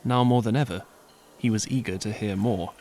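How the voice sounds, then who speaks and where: A man narrating an audio drama in an expressive, lively storytelling style. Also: lowish voice, moderate pace, slight background noise.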